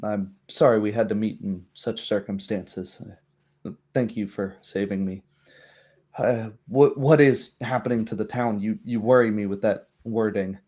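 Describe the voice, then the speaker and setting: Man playing a weary, halting servant, slow-spoken. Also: lowish voice, fairly even intonation, a little mellow. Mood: anxious. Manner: halting, sighing, apologetic. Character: shaken, weary male servant of a wizard, pleading and defeated.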